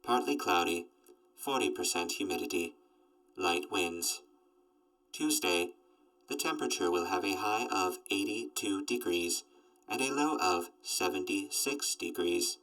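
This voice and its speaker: A man's voice: low-pitched, animated, unhurried, a bit bright, recorded from a distance, faint music behind, low-quality recording. A man playing an emotionless robot that delivers formal, stilted forecasts in an audio drama. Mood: flat, mechanical. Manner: stilted, mechanical, formal announcements. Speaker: robot companion reading forecasts. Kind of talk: post-apocalyptic audio drama.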